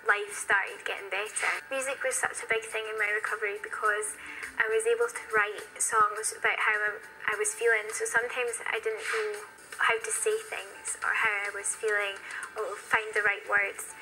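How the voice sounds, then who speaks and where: Young British woman, bright clear-cut tone, very even pitch, candid and reflective, personal testimony, music underneath. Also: normal speed, rough recording. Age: 20s. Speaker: documentary interviewee.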